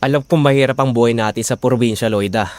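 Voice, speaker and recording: Earnest, struggling husband acted by a man, mid-pitched. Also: fast, no echo, close to an everyday voice. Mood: concerned, reasoning. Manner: earnest, imploring. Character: struggling, earnest husband and father, pleading, steadfast. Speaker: voice actor. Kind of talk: Filipino audio drama acting.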